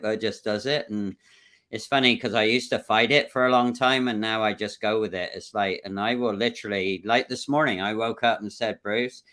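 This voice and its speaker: A man hosts a casual livestream over a video call. His voice is bright and forward, and he jokes and chuckles as he drifts between thoughts. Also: lowish voice. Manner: jokey, chuckles often, rambling. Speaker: livestream host.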